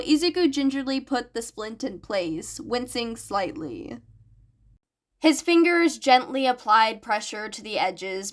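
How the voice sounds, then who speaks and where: A woman's voice: fairly high pitch, fairly bright tone. A woman reading a scripted fan fiction story aloud as its narrator. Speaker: podfic narrator.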